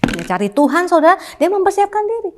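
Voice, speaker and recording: A woman in her fifties, a pastor preaching a sermon with emphasis, often addressing the congregation directly and swinging widely in pitch. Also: big pitch swings, fast talker. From Indonesia. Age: 50s. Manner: emphatic, calls "saudara", wide swings in pitch.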